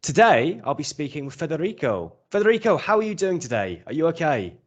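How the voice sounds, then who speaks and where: A man's voice: a little high, big pitch swings, brisk, highs cut off. A man from England hosting a podcast, upbeat and encouraging in a casual interview. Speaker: podcast host.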